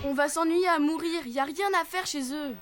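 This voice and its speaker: A woman's voice: sits high, brisk, loud music behind the voice, close to an everyday voice. A woman voicing a blunt, boisterous young child in a cartoon, with a brash, childlike delivery. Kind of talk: animated cartoon voice acting.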